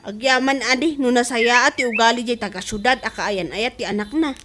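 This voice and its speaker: An Ilocano village mother played by an actress talks in a radio comedy drama. Her voice is fairly high, and there is clear noise behind her. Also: a little fast, close to an everyday voice. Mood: resigned. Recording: noisy background.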